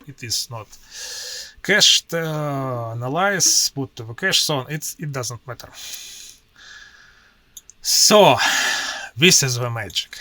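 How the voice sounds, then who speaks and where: Non-native male tech presenter, Russian accent, halting delivery with frequent pauses, crisp bright voice. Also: mid-range voice, no echo. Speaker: IT professional demoing his workflow. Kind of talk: screen-share tutorial walkthrough.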